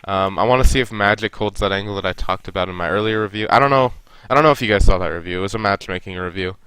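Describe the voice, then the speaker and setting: A man's voice: lowish voice, rapid-fire, fairly bright tone, smooth, dry recording. A young man with a stuffy nose, casually reviewing a team's game and coaching the players directly. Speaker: esports coach reviewing a match.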